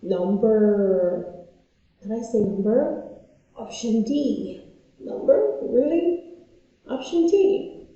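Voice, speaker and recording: Slow, chatty woman tutor, echoey room. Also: a little high, expressive, dark tone. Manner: chatty, self-interrupting, repeats phrases. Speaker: online English tutor. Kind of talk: playful casual teaching. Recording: echoey room, slightly dull audio.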